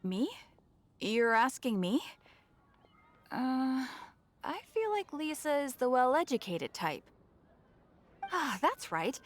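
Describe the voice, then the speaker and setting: A friendly female shopkeeper from a video game, chatting away with eager sales patter. Her voice is fairly high and animated, rising and falling freely, over faint ambient noise. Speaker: shopkeeper character.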